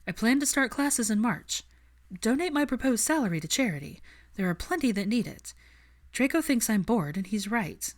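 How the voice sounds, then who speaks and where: A woman's voice: a little high, very animated, normal speed, pitched above the performer's own voice. A woman voicing a determined, frank young witch in an audiobook-style story, articulate and earnest, with a teasing edge. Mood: frank, decided.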